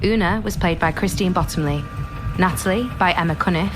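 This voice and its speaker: Female credits announcer, slightly low pitch, scripted read, clear music bed underneath. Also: brisk, low-quality recording.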